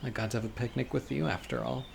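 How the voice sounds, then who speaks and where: A man acting a critically ill, wistful and obsessive young man in an intimate, earnest audio roleplay monologue, musing softly to his date. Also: mid-range voice, fairly even intonation, fast talker. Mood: wistful, hopeful. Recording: clear outdoor insect and bird noise. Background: birdsong, crickets and insects of a lakeside.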